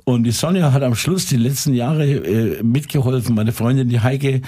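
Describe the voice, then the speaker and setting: Older man, Franconian accent, husky voice, radio interview, rambling storyteller. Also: medium pitch, normal speed. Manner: lively storyteller with many fillers. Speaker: musician and former pub owner. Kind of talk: casual radio interview talk.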